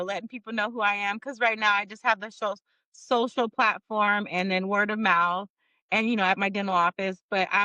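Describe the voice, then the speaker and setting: A woman's voice: mid-range voice, bright, forceful tone, no echo, poor audio. A woman in her forties from Northern California, a small business owner talking earnestly in a casual interview and laughing easily. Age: 40s.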